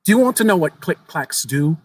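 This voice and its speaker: A man's voice: fairly high pitch, very animated, fairly quick, a little mellow, voice pushed up. A man playing a skeptical, curious young man who humors and questions, slightly uneasy, in a horror story reading. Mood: curious, teasing.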